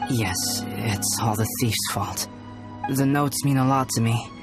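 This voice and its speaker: An actor playing a composed, aloof young man in a video game, speaking in a measured, formal and philosophical manner. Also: mid-range voice, music in the background, close to an everyday voice. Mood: detached, pensive. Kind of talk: video game character acting.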